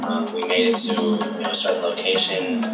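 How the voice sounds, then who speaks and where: Deep-voiced esports guy from texas, sounds far off in a roomy space, loud music behind. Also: a little fast. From Dallas, Texas, USA. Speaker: pro mobile esports player. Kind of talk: pre-recorded player interview.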